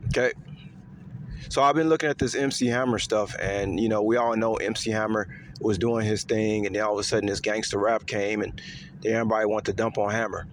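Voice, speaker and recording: A man talking in a casual, rambling monologue to his viewers, repeating himself with lots of fillers and letting his voice swing higher and lower. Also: steady tone, a little fast, a little hiss or hum. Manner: pitch swings up and down. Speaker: vlogger.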